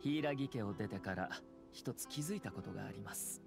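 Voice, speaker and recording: A man voicing a courteous, calculating young clan head in a Japanese anime game, smooth, formal and measured. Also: lowish voice, expressive. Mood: calm, reflective. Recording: game music underneath.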